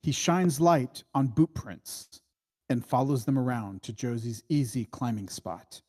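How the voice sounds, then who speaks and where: A man narrates screenplay stage directions at a slow pace. His voice is mid-pitched, with a measured, matter-of-fact delivery. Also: a little mellow, slightly rough.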